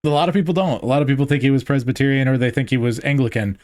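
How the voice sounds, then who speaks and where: A man in casual podcast conversation with a smooth, resonant voice, recorded close and dry. He talks in a relaxed, easy way, explaining historical details from memory. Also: mid-range voice, very fast, dry recording. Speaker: podcast co-host.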